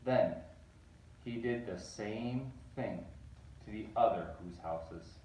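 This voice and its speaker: A man reading a picture book aloud, animated and rising on repeated words. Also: fairly low pitch, takes it slowly, slight background noise. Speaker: reader. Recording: roomy echo, distant mic.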